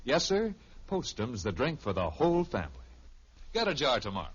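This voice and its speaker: A man's voice: medium pitch, wildly swooping pitch, normal speed, a bit bright, husky. A man announcing a 1950s radio advertisement in a selling style. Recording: muffled old radio recording.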